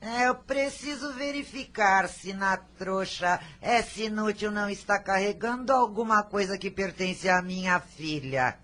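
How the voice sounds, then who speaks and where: A woman playing a bossy, spiteful, miserly aunt in a radio drama, with a sharp, scolding and demanding manner. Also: mid-range voice, measured pace, few pauses, close to an everyday voice. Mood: mistrustful, scornful.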